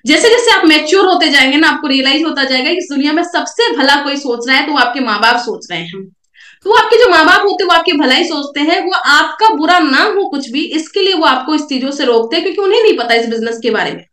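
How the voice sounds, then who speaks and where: A young woman with a high voice coaches a roomful of listeners through her slides, direct and repetitive in her points. Her throat is sore and she coughs between phrases. The sound carries a roomy echo. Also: lively intonation, fast, sharp tone. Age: young adult. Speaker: network marketing speaker. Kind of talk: motivational seminar talk with slides.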